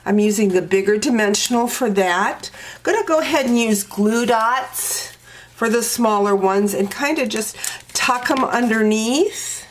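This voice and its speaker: A woman's voice: a little high, slight background noise. A woman with a congested, stuffy nose, walking through a craft project step by step with frequent fillers. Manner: slow, step-by-step instructing with fillers. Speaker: card-making demonstrator. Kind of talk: crafting tutorial walkthrough.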